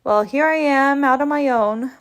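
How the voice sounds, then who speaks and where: A woman reading a comic book aloud in a lively way, changing her voice for each character. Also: high voice, normal speed. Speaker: read-aloud narrator.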